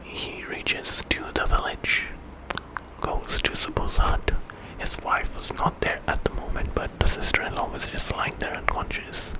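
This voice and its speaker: A man telling a bedtime story in ASMR style, in a breathy whisper and an informal, conversational way. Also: a little slow, low-quality recording. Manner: informal retelling with quoted dialogue.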